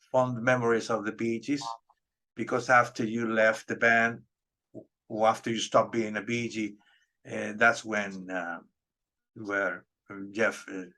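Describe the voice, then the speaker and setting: A middle-aged man from Peru, a native Spanish speaker, chatting casually in English as an interviewer and pausing often as he explains himself. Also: lowish voice, pushed and bright.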